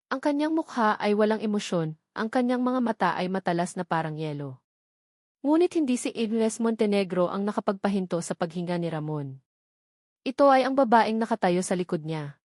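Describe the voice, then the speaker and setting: A woman's voice: mid-range voice, a little mellow, no room sound, clean studio sound. A woman with a Filipino accent reading a scripted story as a dramatic narrator.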